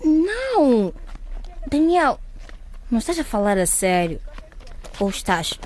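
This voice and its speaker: A woman's voice: on the high side, huge pitch swings, slow, a little mellow, a little hiss or hum, heavily acted. Coy, lilting schoolgirl. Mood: hesitant, uneasy. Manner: hesitant, coy. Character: shy, cautious schoolgirl unsure about her suitor. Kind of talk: radio drama acting.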